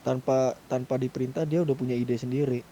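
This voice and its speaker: Mellow, muffled man in game chat. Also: mid-range voice, steady tone, fast talker, soft background music. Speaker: gamer in a roleplay crew. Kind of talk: casual gaming group chat. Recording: faint noise, muffled gaming voice chat.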